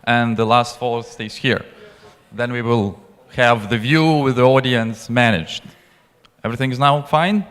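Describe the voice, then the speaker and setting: A man's voice: medium pitch, steady tone, unhurried, a bit bright, clean tone. A man hosting an event, giving off-the-cuff organizing instructions from the stage on a handheld microphone. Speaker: event host. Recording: reverberant hall.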